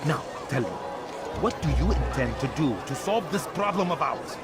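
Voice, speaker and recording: An actor playing a ruthless, proud merchant boss in a video game, scornful and domineering. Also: male voice, a little high, sing-song, normal speed, over loud music, a loud crowd. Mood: scornful, threatening. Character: ruthless, menacing arms dealer, proud and cruel merchant boss. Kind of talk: dramatic video game acting.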